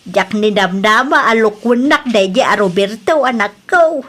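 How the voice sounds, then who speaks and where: A woman's voice: mid-range voice, expressive, normal speed, fairly bright tone. A woman playing a lovelorn, apologetic widowed mother in an audio drama, pleading in a plaintive way. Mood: sheepish.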